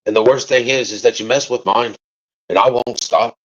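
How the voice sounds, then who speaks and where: A father answering interview questions, halting and rambling at times and rising to forceful defiance at others. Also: medium pitch, fairly even intonation, dry recording, muffled recording. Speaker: father of a missing child, interviewee.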